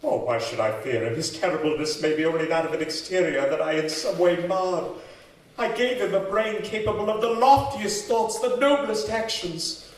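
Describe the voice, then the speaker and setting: A tormented young scientist of old-time radio horror, acted by a man, speaks with grand, impassioned theatricality. The voice sits a little high. It comes from some distance from the mic in a very echoey hall, with faint noise. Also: moderate pace, natural voice. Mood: anguished, grandiose. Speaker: actor in a live radio drama. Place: live stage in a community hall.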